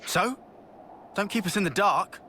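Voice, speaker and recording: An actor playing a cocky, impatient young fighter in a video game, with brash, punchy delivery. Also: male voice, sits high, lively intonation, measured pace, sharp tone, slight background noise, full character voice. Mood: impatient, surprised. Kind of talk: video game cutscene acting.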